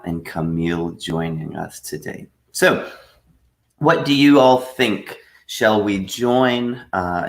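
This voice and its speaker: A man teaching a live online English lesson, patiently explaining with frequent pauses. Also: low-pitched, reverberant. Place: room at home.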